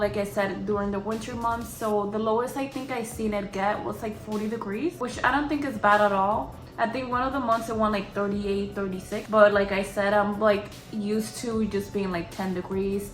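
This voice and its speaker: A young woman with a Boston accent talks in a loose, chatty way and leans on "like" as a filler. She sits at a middle pitch in a room with a noticeable echo. Her delivery is casual and unscripted. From Boston area. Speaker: vlogger. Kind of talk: casual Q&A vlog. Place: her apartment. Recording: roomy echo of a home room.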